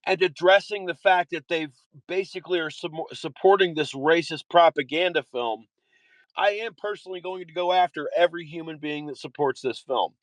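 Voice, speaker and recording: A man's voice: a little high, moderate pace, dry recording, poor audio. A man delivering an impassioned monologue in a vehement, repetitive way, often swearing. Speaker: activist.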